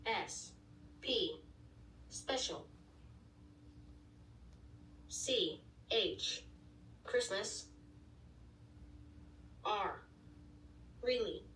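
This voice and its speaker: Slow synthetic woman's voice spelling words. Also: mid-range voice, animated, a bit bright, recorded from a distance, a little hiss or hum. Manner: spells words letter by letter, then says the word. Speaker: speech device voice for a child. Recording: roomy device speaker heard from across the room.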